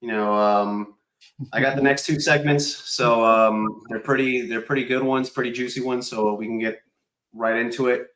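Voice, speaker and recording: A man's voice: medium pitch, steady tone, moderate pace, fairly bright tone, a little hiss or hum, low-quality recording. Blunt man co-hosting a podcast. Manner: blunt, mildly crude. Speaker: podcast co-host. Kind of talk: casual chat.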